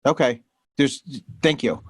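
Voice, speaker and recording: A middle-aged man hosts a panel interview, asking curious, encouraging questions. His voice sits in a middle pitch, recorded close and dry. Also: expressive, a little slow, husky, no room sound. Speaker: host.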